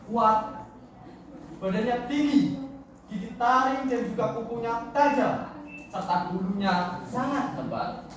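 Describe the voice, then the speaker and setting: A contest storyteller narrating a tale in a theatrical, declamatory way. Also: mid-range voice. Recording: echoey hall, distant mic, noisy.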